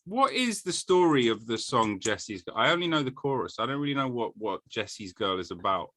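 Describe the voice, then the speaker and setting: A man's voice: medium pitch, big pitch swings, normal speed, sharp tone. A man hosting a podcast, chatting casually and joking with frequent laughs over a video call. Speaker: podcast host. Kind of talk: casual chat.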